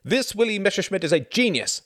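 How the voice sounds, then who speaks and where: A man voicing a brash, hot-tempered, boastful German fighter pilot in an audiobook, forceful and menacing. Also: mid-range voice, lively intonation, a little fast, no room sound, studio quality. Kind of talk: acted audiobook dialogue.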